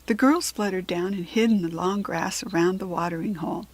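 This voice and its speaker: A woman's voice: fairly low pitch, brisk. A woman with an American accent reading a children's storybook aloud as a narrator.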